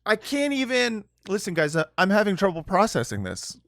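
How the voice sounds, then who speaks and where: A man's voice: sits high, very animated, normal speed, fairly bright tone, dry recording. A man co-hosting a podcast in casual chat, rambling with lots of fillers and restarts and laughing often. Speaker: podcast co-host.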